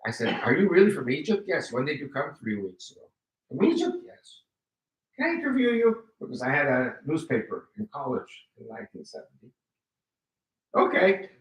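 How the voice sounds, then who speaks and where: A man in his seventies, American-born with Spanish as his first language, giving an animated personal testimony to a live audience and acting out quoted dialogue. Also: on the high side, fairly quick, far from the mic. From United States. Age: 70s. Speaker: guest speaker giving testimony. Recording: roomy hall, voice picked up from afar.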